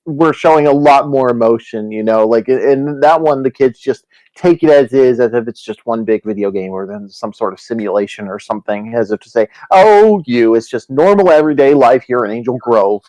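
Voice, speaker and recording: A man's voice: medium pitch, lively intonation, a little fast, a little mellow, no echo, poor audio. A man chatting casually on a group voice call, with a wry, joking way of talking. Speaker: cast member. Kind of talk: casual chat.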